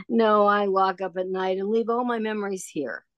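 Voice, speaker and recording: A woman's voice: mid-range voice, steady tone, unhurried, no echo, muffled recording, lightly acted. A woman playing a genial, wistful older shopkeeper in an audio drama, chatty and nostalgic.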